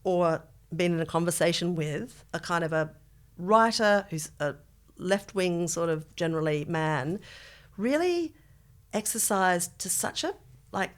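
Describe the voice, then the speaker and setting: A middle-aged Australian woman co-hosting a podcast in casual chat, with an animated, wry way of talking and a habit of mimicking others. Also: fairly low pitch, unhurried. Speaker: podcast co-host.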